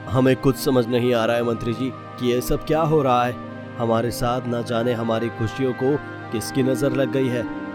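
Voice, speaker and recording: A man's voice: mid-range voice, fairly even intonation, moderate pace, close to an everyday voice. Man acting an anxious, stern storybook king. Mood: worried. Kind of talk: Hindi moral-story cartoon dubbing. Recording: voice over prominent background music.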